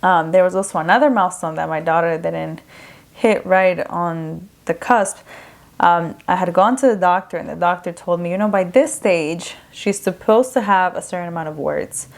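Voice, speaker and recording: Young woman, from Ecuador, podcast host, casual candid talk, lowish pitch. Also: moderate pace, gravelly. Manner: chatty, candid, with frequent fillers.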